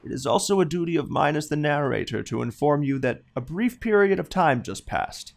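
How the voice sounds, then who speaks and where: A man playing a self-aware, deadpan narrator of a comedic detective audio drama. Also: fairly high pitch, fairly quick, vocal fry. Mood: wry.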